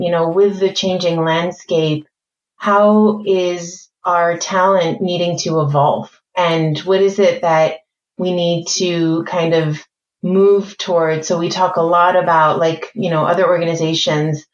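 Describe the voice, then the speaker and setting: A woman born in France and raised mainly in Spain, with a mixed accent shaped by American and British English, speaking as a guest in a podcast interview in a measured, articulate way. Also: on the low side, fairly even intonation, roomy sound, lo-fi sound. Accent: mixed French, Spanish, American and British. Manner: measured, articulate, thinks aloud with fillers. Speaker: corporate learning executive, guest.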